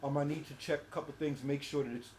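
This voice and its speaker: A man's voice: fairly high pitch, steady tone, fast, darkish tone, a little hiss or hum. A man in his forties co-hosting, talking hesitantly with many fillers while he checks his equipment. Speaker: co-host. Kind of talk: casual setup chat. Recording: echoey room.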